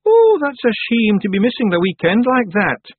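A man's voice: high voice, wildly swooping pitch, normal speed, breathy edge, no room sound, phone-quality sound, voice pushed up, full character voice. A man voicing a tiny, rowdy, cheerfully blunt Scottish fairy man in a storybook reading. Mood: cheery, blunt. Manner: boisterous, cheeky, earnest.

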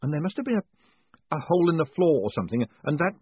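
A man's voice: mid-range voice, big pitch swings, moderate pace, breathy edge, dry recording. A man voicing a shaken, protesting con-man in a fantasy audiobook, urgent, faltering and exasperated. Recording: muffled phone-like audio.

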